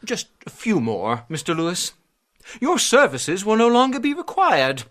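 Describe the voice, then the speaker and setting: An actor in a radio drama playing a pompous, officious hotel manager with a formal, clipped, condescending manner. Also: male voice, sits high, huge pitch swings, normal speed, fairly bright tone, heavily acted. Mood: haughty.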